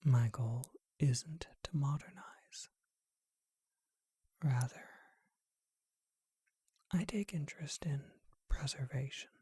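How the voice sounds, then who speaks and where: A performer voicing a charming, courtly male vampire who keeps a bookshop, whispering slowly and deliberately in an ASMR roleplay. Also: medium pitch, warm tone, breathy edge, no room sound, studio quality. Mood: courtly, inviting.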